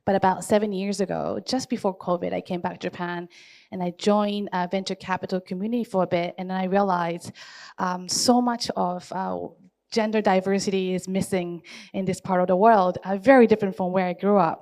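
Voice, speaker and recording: A woman in her forties who grew up in East Africa, introducing herself on a panel at an even pace, with thoughtful pauses and fillers. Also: mid-range voice. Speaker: panelist, startup founder.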